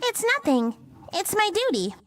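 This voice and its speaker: A woman's voice: very high voice, big pitch swings, moderate pace, distant mic, faint music behind. A woman voicing an earnest, matter-of-fact young witch in a visual novel game. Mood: insistent.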